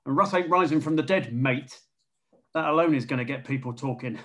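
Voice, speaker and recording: A man's voice: medium pitch, fairly quick, fairly bright tone, natural voice. An actor playing a hot-headed, sarcastic, foul-mouthed security man in a screenplay table read. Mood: sour, insistent. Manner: sarcastic, agitated.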